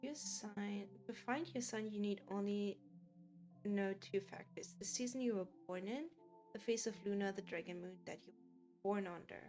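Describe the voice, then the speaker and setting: A woman's voice: medium pitch, moderate pace, darkish tone, faint music behind. A woman from Germany with a German accent, chatting casually with viewers as a game streamer and laughing often. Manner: giggly, chatty, often laughs between sentences.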